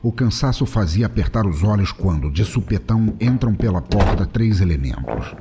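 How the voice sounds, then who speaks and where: A man's voice: fairly low pitch, very animated, normal speed, warm tone, faint music behind. A man reading scripted narration for an audio drama.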